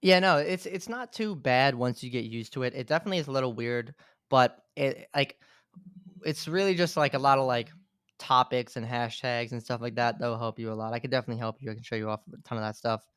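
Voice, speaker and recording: Chill guy in his 20s, smooth clean voice, dry close sound. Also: medium pitch, fairly quick, no echo. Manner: relaxed, fills talk with "like". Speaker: podcast guest, gaming content creator. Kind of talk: casual interview chat.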